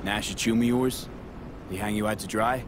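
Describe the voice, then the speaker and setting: There is clear vehicle noise behind a man playing a street mercenary in a video game. He is cool and pragmatic, with a lowish voice and short, clipped, matter-of-fact phrases. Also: steady tone, normal speed, lightly acted. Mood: probing. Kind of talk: naturalistic video game acting.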